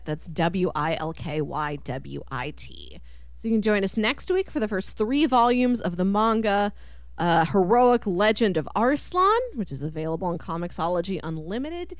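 A woman's voice: mid-range voice, expressive, moderate pace, no room sound, clean studio sound. A woman hosting a podcast, chatting casually about pop culture and laughing readily. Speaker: podcast host.

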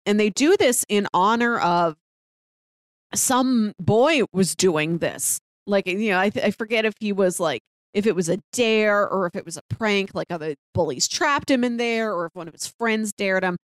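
A woman's voice: mid-range voice, lively intonation, normal speed, no room sound. A woman co-hosting a podcast, casually talking over a TV drama in a rambling storytelling way. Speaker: podcast co-host. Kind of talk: casual chat about a TV drama.

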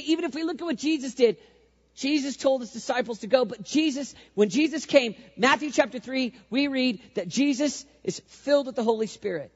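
A male youth pastor with a squeaky voice, preaching an energetic sermon, repeating phrases for emphasis and leading the crowd in call-and-response. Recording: echoey hall, dull lo-fi sound.

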